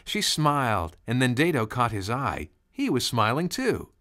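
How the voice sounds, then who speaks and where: Animated, bright-voiced male storyteller. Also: mid-range voice, measured pace, slight background noise. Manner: conversational, expressive, rhetorical questions. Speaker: storyteller, narrator. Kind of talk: scripted children's story narration.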